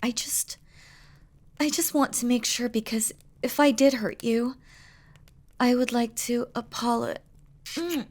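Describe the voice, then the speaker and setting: A woman acts out a demon queen in love, with a fairly high voice and a very unhurried delivery. She is tender and devoted, with regal, formal wording. Her speech is soft and breathy, often sinking to a whisper, as in an intimate romantic audio drama. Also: fairly even intonation. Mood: apologetic, concerned. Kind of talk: scripted romantic audio roleplay, spoken intimately to the listener.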